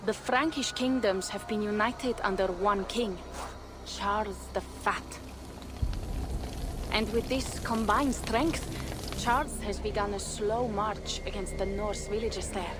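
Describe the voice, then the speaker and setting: A woman's voice: mid-range voice, steady tone, slow, background noise, lightly acted. A woman playing a bold, persuasive Norse warrior in a dramatic video game, speaking in formal, old-fashioned phrases. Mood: earnest, grave. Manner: declarative, archaic formal phrasing.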